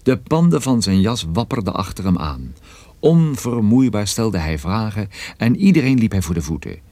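A man's voice: fairly low pitch, sing-song, normal speed, dark tone, no echo. An actor playing a wry private detective who narrates his own story in a scripted radio play. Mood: wry, brisk.

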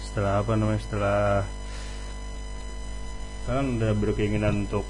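A man hosting a casual radio interview, halting as he searches for words. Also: on the low side, monotone, music in the background, poor audio. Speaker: radio host interviewing a band.

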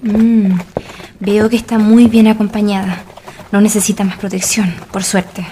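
A woman's voice: medium pitch, level tone, measured pace, warm tone, slight background noise, natural voice. A woman playing a wary, secretive informant in a radio drama, cautious and grave, with formal wording. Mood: grave, troubled. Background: background music.

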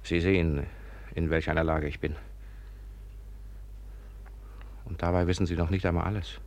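A man playing an irritable, proud young Russian gentleman in a 1950s German radio drama, agitated and sharp-tongued. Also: low voice, fairly even intonation, fast. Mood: contrite, subdued.

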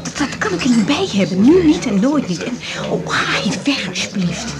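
A nervous, exhausted young woman doctor in a Dutch radio play. Her voice sits fairly high and moves widely in pitch. She speaks haltingly, often sinking into an agitated whisper. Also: very animated, loud music behind the voice. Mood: exhausted, upset. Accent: Dutch from the Netherlands. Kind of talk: naturalistic 1980s radio drama acting.

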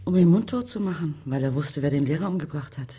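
An actress playing a cool, persistent investigator in a radio crime drama, speaking in a dry, insinuating way. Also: female voice, low-pitched, lively intonation, fast talker, dark tone, husky, heavily acted. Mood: coolly provocative. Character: cool, persistent female investigator, dryly provocative.

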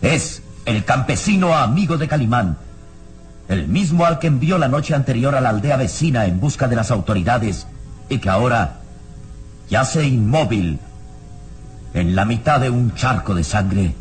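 An actor narrating an adventure radio serial in a solemn, suspenseful, dramatic style. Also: male voice, mid-range voice, lively intonation, faint music behind, natural voice. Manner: suspenseful, solemn, measured phrasing. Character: omniscient suspenseful adventure storyteller.

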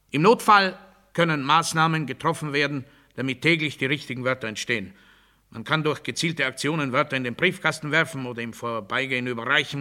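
An actor playing a pompous authoritarian demagogue in a satirical radio play, giving a declamatory, bureaucratic and commanding speech. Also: male voice, on the high side, pushed and bright. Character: pompous authoritarian male demagogue drilling the masses.

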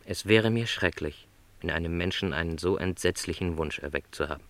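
A man playing a lonely, middle-aged travelling salesman in a radio play, polite, gentle and resigned, reflecting hesitantly. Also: low voice, brisk. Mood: polite, concerned. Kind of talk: German radio play dialogue.

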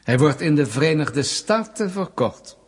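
A man's voice: on the high side, big pitch swings, measured pace, breathy edge, exaggerated voice. An actor playing a suspicious, smug state security interrogator in a radio play, pedantic and sardonic as he presses his questions. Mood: smug, irritated. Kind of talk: Dutch radio play acting.

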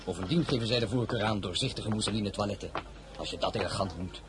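An actor playing a loyal, proper silk apprentice in a radio drama, speaking formally. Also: male voice, lowish voice, fast, noisy background, close to an everyday voice.

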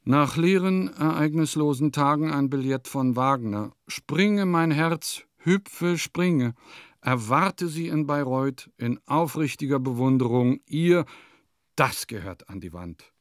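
An actor playing a vain, eccentric composer who muses theatrically and pompously in a radio play monologue. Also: male voice, on the high side, expressive, takes it slowly, dry recording, studio quality. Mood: elated, pompous. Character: vain, eccentric male composer musing in his diary.

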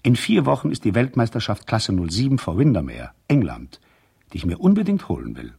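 An actor playing a proud, indignant husband in a radio play, speaking emphatically with a gravelly, husky voice. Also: male voice, lowish voice, huge pitch swings, brisk, dark tone, exaggerated voice. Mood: angry, defiant. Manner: emphatic, indignant, swinging between outburst and restraint. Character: indignant, proud husband, boat builder and racer. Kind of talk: radio play, dramatic letter monologue.

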